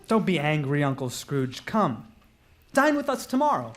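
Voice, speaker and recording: A man's voice: on the high side, big pitch swings, normal speed. A man playing a cheerful, earnest young nephew in a live radio drama. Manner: earnest, good-humored. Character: cheerful, warm-hearted young nephew, steadfastly festive.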